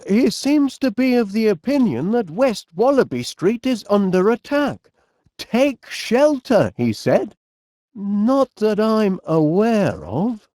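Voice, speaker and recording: Middle-aged Northern English inventor, swooping lively intonation, unhurried, fussy, cheerful, bumbling, cartoon comedy game voice. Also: male voice, sits high, no room sound, clean studio sound, full character voice. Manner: bumbling, hesitant, polite, chatty. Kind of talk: animated comedy game voice acting.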